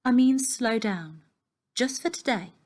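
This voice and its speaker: A woman's voice: on the high side, expressive, a little slow, a little mellow, voice pushed up. A woman reads as a caring aunt in a children's storybook. She stands up to her husband, imploring him with firm, insistent words. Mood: firm, pleading. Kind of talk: storybook character reading.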